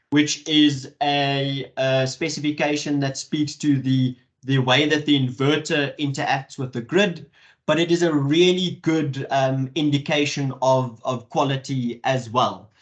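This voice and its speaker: A man moderating an online webinar, speaking in a halting way with many fillers and restarts over a video call. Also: medium pitch. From South Africa.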